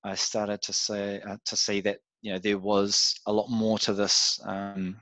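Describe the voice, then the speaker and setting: A man's voice: on the low side, monotone, measured pace, fairly bright tone. A man from New Zealand with a New Zealand accent, talking as a podcast guest in a reflective, casual way with frequent fillers. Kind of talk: casual interview chat.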